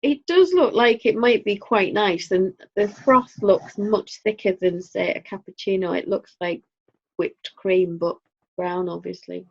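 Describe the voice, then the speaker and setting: A woman's voice: mid-range voice, measured pace, gravelly, darkish tone. Northern English woman co-host, easy rambling chat, dry wit, lo-fi dull sound. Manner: chatty, rambling, dry humor. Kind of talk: casual chat. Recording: dull lo-fi audio.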